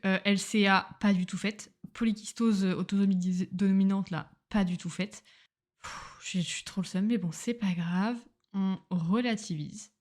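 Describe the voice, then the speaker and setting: A young woman chats into a home microphone in a loose, diary-style podcast. She rambles from one thing to the next, slangy and self-mocking, with a casual everyday tone. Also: mid-range voice. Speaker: podcaster, medical student. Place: at home on a couch.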